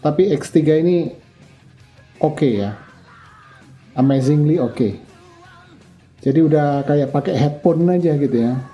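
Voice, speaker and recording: An older man talks through a product review in a loose, chatty way, stopping often with fillers and restarts. His voice is deep and mellow, and he speaks slowly. Faint music plays behind him. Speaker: motorcycle gear reviewer.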